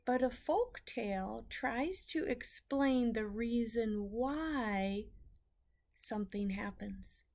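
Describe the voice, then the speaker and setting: A woman teacher reading a story aloud to children, explaining it deliberately as she goes. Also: fairly high pitch, creaky, a little mellow.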